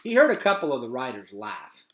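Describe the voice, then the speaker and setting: A man reading a storybook aloud as an expressive, dramatic narrator. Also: mid-range voice, a little fast, background noise. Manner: animated, dramatic. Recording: echoey room.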